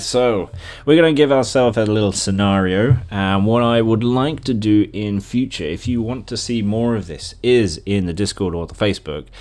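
A man with a fairly low voice hosts a casual solo podcast. He rambles in a jokey, informal way, cutting into his own sentences as he goes. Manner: rambling, jokey, self-interrupting. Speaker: podcast host.

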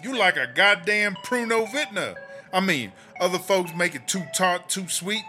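A man's voice: high-pitched, expressive, normal speed, sharp tone, soft background music. A man playing a swaggering, sassy female American inmate in a comedy audio drama, drawling and boastful. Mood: delighted. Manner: drawling, boastful, third-person self-references.

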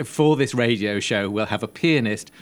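A man's voice: lowish voice, moderate pace. A man talking informally on a film panel in a discursive way, often saying "like" and "sort of", recorded live at an event. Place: live post-screening event before an audience. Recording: clearly noisy live room recording.

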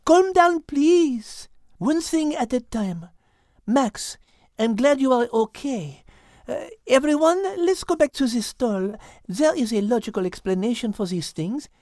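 Woman voicing a high, fussy lady animal. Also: lively intonation, a little mellow, no echo, clean studio sound. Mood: calm, insistent. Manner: pedantic, measured, precise. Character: rational, fussy French-speckled female animal, overthinking know-it-all. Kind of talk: animal story character acting.

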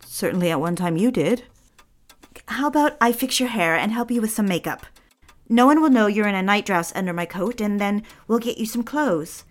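A woman's voice: mid-range voice, lively intonation, normal speed, close to an everyday voice. A woman playing an eager, lonely society housewife in a period audio drama, genteel and coaxing in manner.

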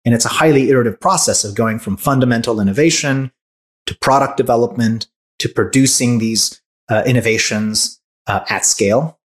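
A man's voice: medium pitch, brisk. A man in his forties from Hungary, a startup founder answering interview questions thoughtfully, with many restarts and fillers.

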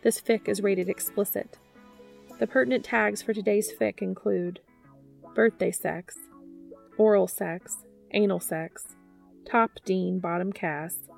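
A woman's voice: mid-range voice, fairly even intonation, slow pace, vocal fry, a little mellow, soft background music. A woman hosting and narrating fan fiction in a scripted read-aloud style. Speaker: podcast host and fan fiction reader.

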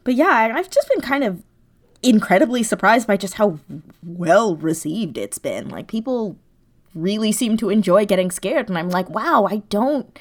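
Animated, self-deprecating woman in conversation. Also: medium pitch, normal speed. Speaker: interview guest, audio drama writer and performer. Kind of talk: casual conversational interview.

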